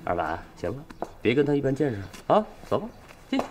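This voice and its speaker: A blustering military officer, whose pitch leaps all over the place. He cajoles and bullies like a lecherous schemer in a television comedy, with strong music behind him. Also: huge pitch swings, fast. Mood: placating. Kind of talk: broad television comedy-drama acting.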